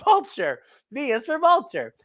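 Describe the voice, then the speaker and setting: A woman hosting a children's story time, excitable and playful, with exaggerated expressive storytelling. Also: high-pitched, unhurried.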